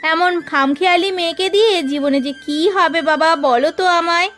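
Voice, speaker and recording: A woman voicing a scolding village mother in a cartoon, melodramatic and shrill. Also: moderate pace, fairly bright tone, a little hiss or hum. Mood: complaining. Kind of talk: cartoon voice acting.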